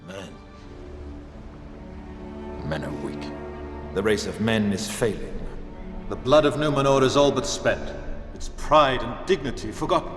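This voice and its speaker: An actor playing a grave, world-weary ancient lord, solemn and bitterly scornful of men. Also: male voice, medium pitch, animated, measured pace, long pauses, close to an everyday voice. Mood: bitter gravity. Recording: reverberant hall, loud music underneath.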